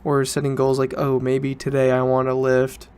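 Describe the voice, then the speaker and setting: A man's voice: mid-range voice, monotone, normal speed, a little mellow, clean tone. A young man, student age, talking casually and informally as a solo podcast host, rambling and correcting himself as he goes. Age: teens to young adult student.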